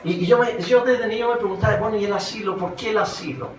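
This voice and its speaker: A roomy recording, picked up from a distance with faint noise, carries a Chilean man preaching. His voice sits a little high for a man. He speaks as a pastor to a congregation and keeps putting rhetorical questions to them. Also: quick pace. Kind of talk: sermon.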